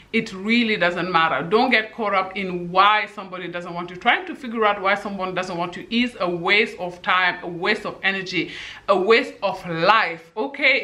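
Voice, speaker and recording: A woman in her thirties with a husky voice and a delivery, giving emphatic dating advice straight to camera and ending her points with "okay?". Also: mid-range voice, bright, forceful tone. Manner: emphatic, repeats "okay?" to drive points home. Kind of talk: direct-to-camera advice monologue.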